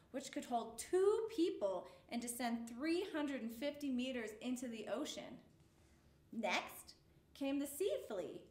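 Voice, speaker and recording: A woman with a high voice reads a children's story aloud in a roomy, echoey space. Her phrasing is measured and expressive, shaped for young listeners at storytime. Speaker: storyteller reading a picture book aloud.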